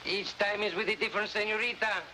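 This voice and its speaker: An actor playing a cheerful, romantic Latin American prisoner with a Spanish accent, polite and florid in an old crime drama. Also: male voice, high voice, fairly even intonation, quick pace, lightly acted. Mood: amiable, earnest. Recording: noisy, hissy old 1950s drama soundtrack.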